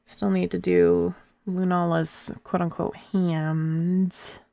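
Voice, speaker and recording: A woman streamer with a gravelly voice, chatting casually and rambling to herself between laughs. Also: on the low side, little pitch movement, slow pace, mellow, no room sound. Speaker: live streamer.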